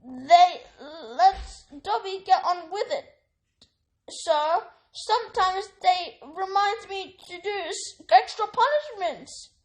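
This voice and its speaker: A woman voicing a timid, servile male house elf who squeaks and pleads, ending each sentence with "sir", in a storybook reading. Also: expressive, slow pace, full character voice, rough recording. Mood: earnest pleading.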